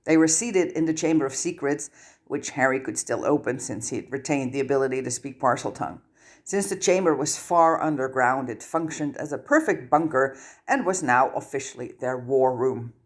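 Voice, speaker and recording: Casting: female audiobook narrator with a low-pitched voice for scripted fiction reading. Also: moderate pace.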